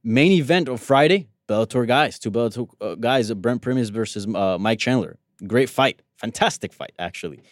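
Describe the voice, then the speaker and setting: Easygoing co-host, a native Spanish speaker. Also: male voice, medium pitch, animated, moderate pace, few pauses, no room sound, studio quality. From Colombia. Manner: easygoing, many fillers like you know. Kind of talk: casual MMA talk.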